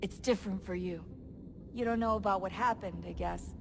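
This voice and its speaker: A woman's voice: medium pitch, normal speed, gravelly, noisy background, lightly acted. An actress playing an earnest, troubled young woman in a modern covert team, speaking hesitantly and sincerely in a video game. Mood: sad, bitter. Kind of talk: naturalistic video game acting.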